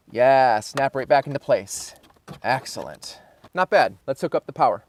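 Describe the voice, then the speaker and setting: A man giving a casual how-to, thinking aloud informally as he works with his hands. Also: fairly high pitch. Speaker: host of a car installation how-to channel. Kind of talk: casual hands-on tutorial, talking through the work.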